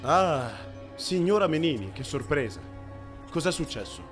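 A stern young gang leader from an anime fan dub , played by a man, with a high-pitched male voice. He is composed, commanding and courteous, with faint music behind. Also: big pitch swings, measured pace. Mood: calm courteous.

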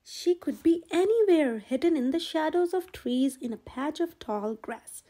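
A woman reading a children's picture book aloud in an expressive, gentle storytelling manner. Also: high-pitched, measured pace. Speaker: storyteller, channel host.

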